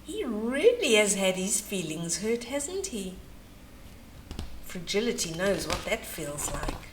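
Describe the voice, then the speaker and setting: An older woman reading a picture book to children as an expressive bedtime story, with chatty asides. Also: medium pitch, slow. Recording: roomy echo, faint background noise.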